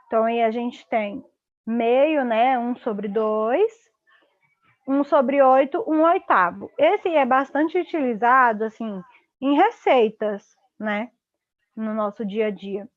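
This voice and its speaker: A woman's voice: on the high side, dry recording. A young woman with a Brazilian accent giving a live online lesson, explaining simply and chatting with many fillers, heard over a video call mic. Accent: Brazilian Portuguese. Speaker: online tutor. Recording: dull lo-fi live stream audio.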